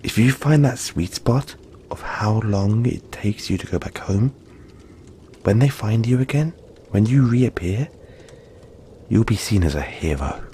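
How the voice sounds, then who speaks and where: A man voicing a gentle, cuddly young yeti in a soft-spoken, hesitant, soothing roleplay addressed to the listener. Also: on the low side, animated, measured pace, long pauses, a little hiss or hum. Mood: fond, chatty.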